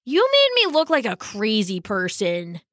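A woman's voice: medium pitch, huge pitch swings, a little slow, a bit bright, dry recording, studio quality. A woman voicing an eager, insistent young schoolboy in a children's story, emphatic and pleading. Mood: exasperated, insistent.

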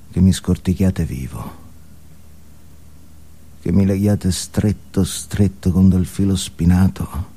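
A man's voice: sits low, heavily acted. An actor playing an unhinged, foul-mouthed, alienated man in an intense, volatile comic stage monologue. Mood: bleak, pleading. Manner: intense, volatile, emphatic, swinging from muttering to yelling.

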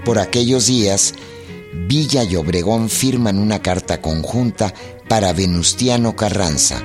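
A man giving formal, measured narration for a historical radio drama. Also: fairly low pitch. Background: instrumental music.

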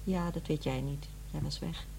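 A woman's voice: low-pitched, steady tone, moderate pace, creaky, a little mellow, a little hiss or hum. An actress playing a weary divorced mother in middle age in a radio play, matter-of-fact and reserved. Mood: reproachful, firm. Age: middle-aged. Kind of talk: radio play acting, naturalistic dialogue.

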